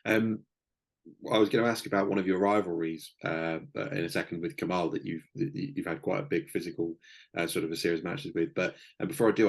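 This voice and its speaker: A man's voice: low voice, gravelly. A man hosting an interview, asking long, hesitant questions full of fillers. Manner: hesitant, many fillers and restarts. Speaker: interview host.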